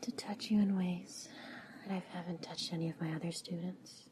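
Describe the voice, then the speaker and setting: A woman playing a coaxing, indulgent teacher in an intimate roleplay, speaking in a soft, breathy whisper and murmuring slowly. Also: fairly low pitch, fairly even intonation, noisy background, natural voice. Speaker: audio roleplay performer.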